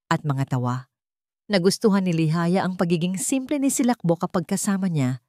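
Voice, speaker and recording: A Filipino woman reads a dramatic love story as a scripted narration. Her voice sits on the low side, and the sound is very dry, with no room around it. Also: a little mellow, dry recording. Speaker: narrator of audio love stories.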